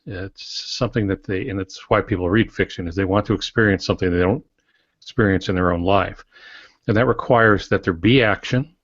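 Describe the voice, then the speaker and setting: An older man co-hosting a podcast, giving conversational advice in a measured, instructive way with dry humour. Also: lowish voice, no echo. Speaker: podcast co-host. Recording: dry, slightly muffled lo-fi audio.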